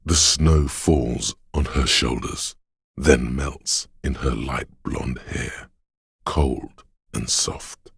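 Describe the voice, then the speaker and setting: An actor voicing a brooding, poetic inner sense of a haunted city in solemn, measured, atmospheric game narration, very deep and gravelly. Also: male voice, steady tone, fairly bright tone, dry recording, clean studio sound, exaggerated voice. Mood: solemn, eerie.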